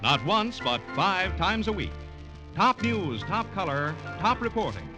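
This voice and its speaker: Male vintage radio announcer: animated scripted read, music clearly playing along, old broadcast sound. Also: high-pitched, a little slow, rough recording.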